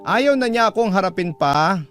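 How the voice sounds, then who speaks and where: A man's voice: sits high, lively intonation, normal speed. Dramatic male radio narrator over guitar. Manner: emotive, addresses the letter to the host. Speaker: narrator reading a listener's letter. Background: loud guitar music.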